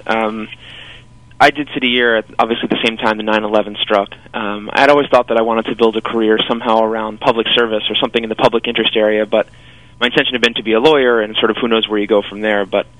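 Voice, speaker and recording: Fast-talking low-voiced young man. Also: flat delivery, fairly bright tone, dry recording, low-quality recording. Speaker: guest, former volunteer. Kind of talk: radio interview.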